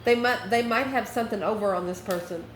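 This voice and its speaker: A woman of medium pitch gives an off-the-cuff tarot reading to her viewers. She talks conversationally, in short phrases, as if working out each card aloud. The room echoes around her voice, with faint noise underneath. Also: fast talker. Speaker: tarot reader, channel host. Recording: roomy echo, faint background noise.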